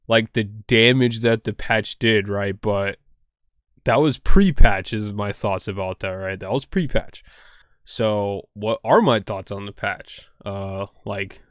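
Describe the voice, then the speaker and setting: A man's voice: fairly low pitch, no echo, studio quality. A young man talking casually to camera at home, rambling with lots of fillers and poking fun at himself. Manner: rambling, many fillers and "like", self-deprecating. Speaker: card game content creator.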